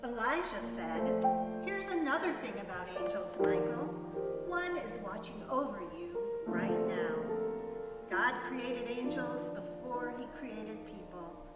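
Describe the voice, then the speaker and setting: A woman's voice: a little high, lively intonation, slow pace. A woman giving a dramatic reading of a story with quoted dialogue. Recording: echoey hall, distant mic, loud music.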